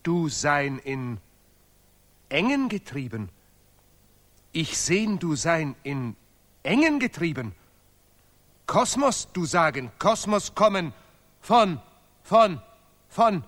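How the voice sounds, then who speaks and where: An actor playing a vain, touchy Nobel laureate artist in a stylized radio play, declaiming emphatically in deliberately broken, repetitive German. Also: male voice, on the high side, sing-song, a bit bright, heavily acted. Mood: accusing. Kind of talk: stylized avant-garde radio play acting.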